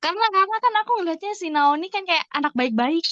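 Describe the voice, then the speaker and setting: An Indonesian woman chatting casually as a podcast co-host, asking questions in a halting way and repeating her words. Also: very high-pitched, animated, fairly bright tone, muffled recording. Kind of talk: casual chat.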